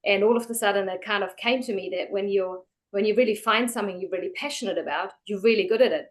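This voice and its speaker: A woman from Germany, speaking as a guest in a casual interview, chatty and full of fillers and restarts. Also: medium pitch.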